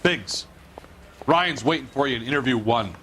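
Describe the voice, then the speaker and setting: Brusque, indignant man for period crime game, mid pitch, very forceful edge. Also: expressive, moderate pace, a little hiss or hum. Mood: dismissive. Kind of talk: video game voice acting.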